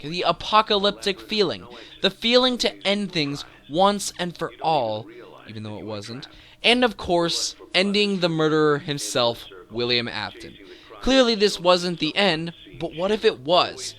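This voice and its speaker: A man's voice: a little high, pushed and bright. A man hosting a video game top-ten countdown, speaking with emphatic, enthusiastic commentary and dramatic build-ups. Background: music.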